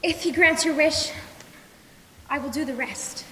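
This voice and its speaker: Teen girl as brave determined daughter in school play, really high voice, echoey hall. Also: measured pace, bright, forceful tone. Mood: resolute. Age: young teenager. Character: brave, resolute young daughter determined to stop a tyrant. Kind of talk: school stage play acting. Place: school stage in a large hall. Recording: echoey hall with background noise.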